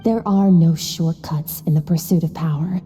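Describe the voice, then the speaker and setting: A woman playing a stern, aloof master swordswoman in a video game, lecturing in a cool, measured, terse manner. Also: sits low, steady tone, warm tone, long reverb tail, faint music behind, lightly acted. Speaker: sword instructor. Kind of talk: scripted video game voice acting.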